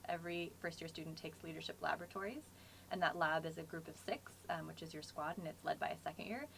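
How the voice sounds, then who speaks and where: A woman's voice: low voice, steady tone, quick pace, gravelly, fairly bright tone. A young woman answering questions conversationally as an MBA student panelist. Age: young adult. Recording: faint background noise.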